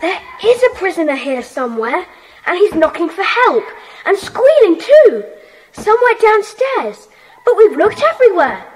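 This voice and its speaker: Decisive, high-pitched boy leader. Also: big pitch swings, moderate pace, a little hiss or hum, poor audio. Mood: urgent, hushed. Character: Peter, decisive schoolboy leader of secret club. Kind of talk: children's audiobook dramatisation.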